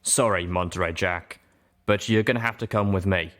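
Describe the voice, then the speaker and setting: A stern guard pony, acted by a male reader, skeptical and official. He weighs out his words deliberately, like an officer of the law in an audiobook drama. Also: low voice, a bit bright. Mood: grim.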